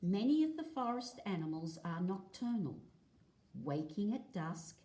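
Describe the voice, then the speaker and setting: Woman, teacher read-aloud, very unhurried, soft-edged mellow tone, measured explanations. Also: mid-range voice, sing-song. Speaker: teacher reading aloud to pupils. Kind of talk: read-aloud lesson of a non-fiction picture book. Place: indoors at a table, filming a book.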